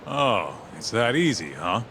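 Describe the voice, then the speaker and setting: Skeptical detective's partner for a game: deep, lively, streetwise, faint street noise behind. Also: male voice, a little slow, full character voice. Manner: skeptical, slangy. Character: streetwise, grumbling male detective's sidekick. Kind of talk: English video game voice acting.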